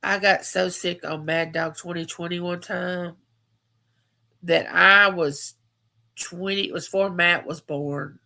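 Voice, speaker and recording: A chatty woman in her fifties hosts a relaxed livestream, swapping stories with her viewers. Her voice is bright and sits a little low, and she leans on repeated phrases for emphasis. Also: slow pace, creaky. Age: 50s. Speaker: livestream host. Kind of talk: casual live chat.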